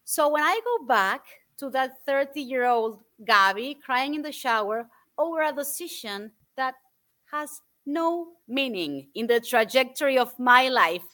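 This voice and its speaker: A woman in her forties from Argentina, guest on an interview show, telling stories in an animated, thoughtful way with many fillers. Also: sits high, a little slow. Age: 40s. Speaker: guest speaker. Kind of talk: interview answers, storytelling.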